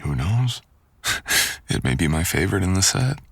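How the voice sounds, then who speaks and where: Man voicing a very deep, gravelly seductive stalker. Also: big pitch swings, heavily acted. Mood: sultry, commanding. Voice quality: gravelly, breathy.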